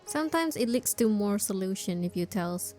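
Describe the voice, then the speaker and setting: A woman's voice: mid-range voice, expressive, moderate pace, warm tone. A woman streaming a game, giving casual reaction commentary on the story. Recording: music behind the voice.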